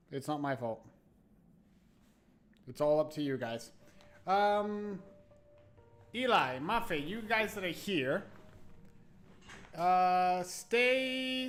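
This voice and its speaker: A man's voice: high-pitched, a bit bright. Man chatting casually on a livestream. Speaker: live streamer and host. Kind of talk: casual livestream chat to viewers. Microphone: new close microphone, no webcam. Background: background music.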